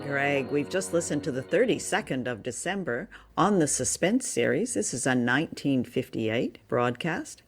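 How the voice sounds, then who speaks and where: A woman's voice: very deep voice, very animated, moderate pace, hardly any pauses, over music. An older woman, in her sixties or beyond, co-hosting a podcast with enthusiastic, conversational reviewing. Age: 60s or older. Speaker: podcast co-host. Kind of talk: conversational review of old radio drama.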